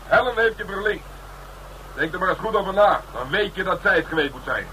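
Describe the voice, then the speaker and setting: A tough, taunting police detective in a radio crime drama. His voice is high for a man and forceful, with blunt, streetwise phrasing and sardonic bite. The old recording carries some noise. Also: lively intonation, a little fast, poor audio. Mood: needling.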